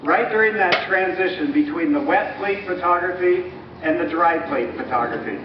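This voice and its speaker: A man giving a scripted, explanatory historical narration in a measured, didactic way, like a presenter at a live dramatization. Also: high-pitched. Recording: muffled, echoey distant recording with clear noise.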